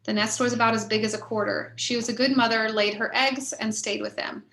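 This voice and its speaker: A woman's voice: on the high side, fairly even intonation, fairly quick, vocal fry, a bit bright. A woman casually moderating an online event and reading out messages from participants. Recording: roomy, echoey sound.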